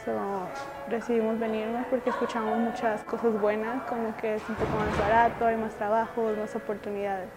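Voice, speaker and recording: A woman with a Mexican accent, calmly telling why her family moved. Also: a little high, fast talker. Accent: Mexican Spanish. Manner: calm, flat, with Spanish fillers. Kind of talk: personal interview testimony. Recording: music bed under the voice.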